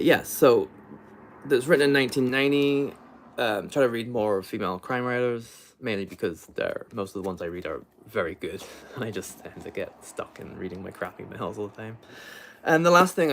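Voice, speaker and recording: A man chatting casually and unscripted on a livestream as a book vlogger, rambling and haltingly correcting himself as he goes. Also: mid-range voice.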